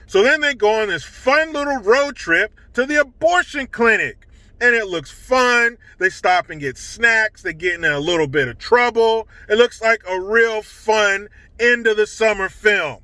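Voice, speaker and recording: A man's voice: high voice, measured pace, pushed and bright, no echo. A man hosting a vlog, giving casual, opinionated political commentary in a blunt, indignant, profane manner.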